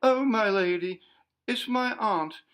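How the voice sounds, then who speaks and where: Man as a frightened maidservant, high-pitched, tearful, stammering, wailing, ghost story narration. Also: takes it slowly, fairly bright tone. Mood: terrified, tearful. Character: terrified, wailing young maidservant woman.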